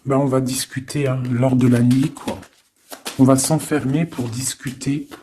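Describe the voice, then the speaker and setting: Guy doing a casual tarot reading, relaxed dark-ish voice, kinda halting and thinking out loud, bit of background noise. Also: mid-range voice. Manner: informal, halting, thinking aloud with frequent tag questions. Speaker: tarot card reader.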